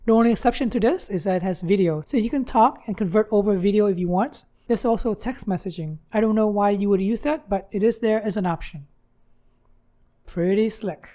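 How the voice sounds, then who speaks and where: A woman's voice: medium pitch, warm tone. Woman narrating a step-by-step tutorial. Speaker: tutorial host.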